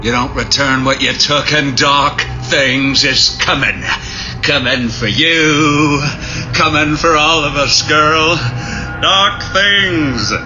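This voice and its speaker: A man's voice: on the high side, highs cut off. A man playing a menacing, superstitious old backwoods man, growling folksy warnings in a horror audio drama. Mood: ominous, menacing. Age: older. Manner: growling, folksy dialect, ominous drawn-out warnings. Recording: music behind the voice.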